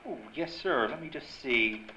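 An actor playing a polite, fussy motel desk clerk in an old-time radio drama. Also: male voice, mid-range voice, moderate pace, bright, forceful tone. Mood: obliging. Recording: dull, noisy, echoey live stage recording.

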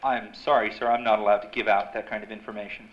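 An actor playing a polite, prim, sly motel desk clerk in an old-time radio drama. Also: male voice, fairly low pitch, level tone, fast, natural voice. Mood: politely sly. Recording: dull lo-fi live stage recording, clear noise.

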